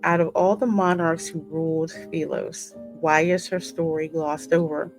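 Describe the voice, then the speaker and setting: A woman hosting a gaming channel, reading game dialogue aloud in an expressive, theatrical way with casual reactions. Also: deep voice, measured pace. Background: game music.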